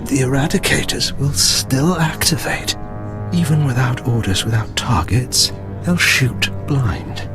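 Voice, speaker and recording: An actor playing a defiant, grimly fanatical terrorist mastermind in a video game, theatrical and deliberate. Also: male voice, mid-range voice, lively intonation, music in the background, lightly acted.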